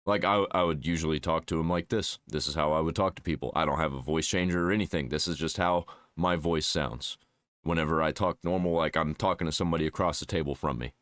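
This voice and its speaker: A man hosting a reaction video, mocking and swearing, sometimes dropping into a put-on deep voice. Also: fast talker, fairly bright tone. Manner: mocking, swings between a squeaky high register and a put-on deep voice; swears freely, reads search results aloud. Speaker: reaction channel host. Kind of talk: casual reaction commentary.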